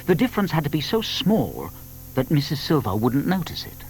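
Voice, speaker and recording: A man narrating a children's story from a script, with deliberate emphasis. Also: a little high, wildly swooping pitch, a little hiss or hum, poor audio.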